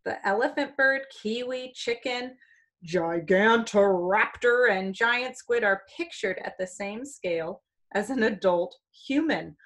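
A woman's voice: mid-range voice, expressive, a little slow, a bit bright. A middle-aged American woman reading aloud to young pupils like a teacher, explaining as she goes with questions and small exclamations. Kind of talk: children's read-aloud with asides. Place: small room indoors.

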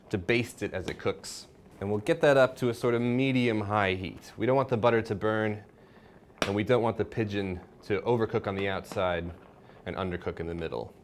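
A young man presenting a cooking show, explaining each step in an informal, instructional way. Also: medium pitch. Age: young adult. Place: indoor home-style kitchen.

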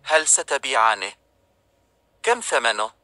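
A man's voice: medium pitch, big pitch swings, measured pace, pushed and bright, no room sound, full character voice. A man voicing a learned king in a storybook cartoon, direct and businesslike.

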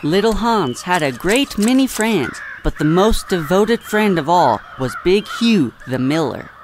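A man playing a gentle, wise little songbird who tells a story politely and in measured phrases. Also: high voice, very animated, noisy background. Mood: gentle narration. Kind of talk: audio drama acting and storytelling.

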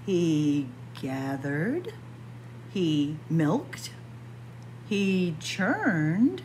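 A woman reading a picture book aloud to young children in an expressive manner, addressing them directly as friends. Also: low-pitched, extremely slow, a little hiss or hum, poor audio. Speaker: library story time reader. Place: indoors in a library, by bookshelves.

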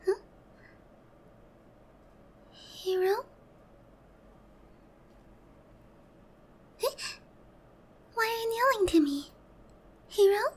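A gentle young demon queen voiced by a woman speaks in a very high, delicate voice that often sinks into a breathy whisper. She is slow and hesitant, leaving room between phrases. The tone is tender and remorseful, in the style of an intimate ASMR roleplay. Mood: surprised, hopeful.

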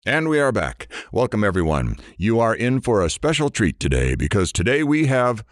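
A man's voice: fairly low pitch, very animated, normal speed, no room sound, clean studio sound, soft background music. An actor playing a brash, sarcastic morning radio DJ with irreverent showman banter in an audio drama. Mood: teasing. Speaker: radio DJ host.

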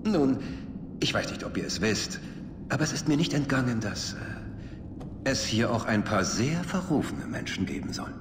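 A man playing a flamboyant, menacingly polite tyrant king in a video game, theatrical and mock-courteous, with drawn-out phrases and sudden pauses. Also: mid-range voice, big pitch swings, echoey room, big-room sound, background noise, natural voice. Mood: silky menace. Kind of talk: video game cutscene acting.

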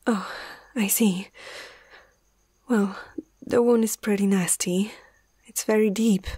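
A woman acting out a young female vet in an ASMR fantasy roleplay. She speaks slowly and hesitantly in a husky, breathy voice that often slips toward a whisper. She is nervous yet caring and firm, heard close up with a dry sound. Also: medium pitch, steady tone, warm tone, dry recording. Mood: concerned, firm. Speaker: amateur ASMR roleplay performer.

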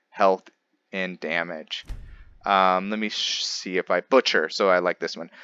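A man giving casual gameplay commentary as host, thinking aloud in a halting way. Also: low voice, sharp tone, clear, resonant tone, no room sound, dull audio. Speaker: gaming video host.

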